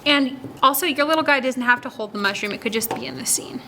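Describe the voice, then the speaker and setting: A woman speaking as a children's librarian, reading aloud and explaining things to young children in an animated way, often asking questions and answering them herself. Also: high voice, fast, noisy background. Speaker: children's librarian hosting story time. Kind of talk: read-aloud and craft instruction for young children.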